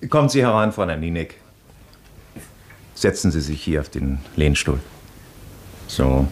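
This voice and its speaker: An aristocratic baron in a radio drama. His voice is very low. He speaks with formal, measured courtesy, as a scrupulous and kindly employer would. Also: very animated, noisy background, exaggerated voice. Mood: formal, considerate. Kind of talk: radio play acting.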